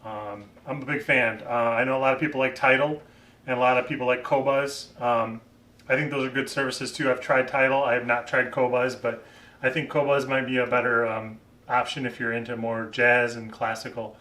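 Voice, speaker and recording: A man talks casually and off the cuff like a hobbyist vlogger reviewing equipment. His voice sits at a middling pitch, and he feels his way through sentences as he thinks aloud. The sound is distinctly roomy, with the microphone some distance from him. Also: flat delivery, normal speed, pushed and bright. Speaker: vlogger reviewing vintage hi-fi gear. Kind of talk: casual unscripted product talk.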